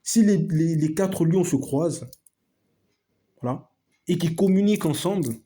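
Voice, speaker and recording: Emphatic, slangy man with a husky voice. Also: on the high side, measured pace, warm tone. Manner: emphatic, repetitive, slangy, addresses viewers as friends. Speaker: video host. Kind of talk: casual opinion monologue to camera.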